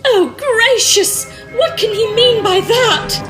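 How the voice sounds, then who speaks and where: A swooning, overwrought lady in a Victorian audio farce. Her voice is extremely high, drawn out slowly in melodramatic wails. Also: over music. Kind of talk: period farce audio acting.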